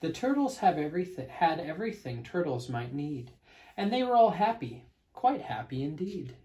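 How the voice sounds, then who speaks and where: A man reading a children's storybook aloud as narrator, with expressive, dramatic emphasis. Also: on the high side, moderate pace, low-quality recording.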